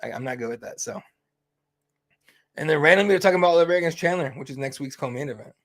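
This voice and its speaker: A man's voice: on the high side, expressive, very fast, fairly bright tone. A young man hosting a fight-night livestream, chatting casually with lots of fillers and swearing. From Mexican heritage. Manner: rambling, profane, easygoing, many fillers. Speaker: host of a fight companion stream.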